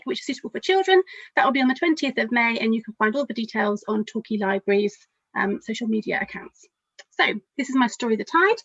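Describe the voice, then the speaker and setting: High-voiced woman reading a children's picture book. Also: dry recording, lo-fi sound. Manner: expressive, addressing young listeners directly. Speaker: children's author reading aloud.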